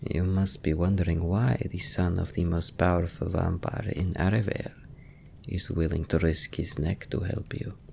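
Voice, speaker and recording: A man playing a gentle, sorrowful young vampire nobleman in an ASMR fantasy roleplay, speaking softly and formally with a gravelly, breathy voice. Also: deep voice, little pitch movement, normal speed, slight background noise. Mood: sorrowful, brooding. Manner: formal, archaic, softly spoken.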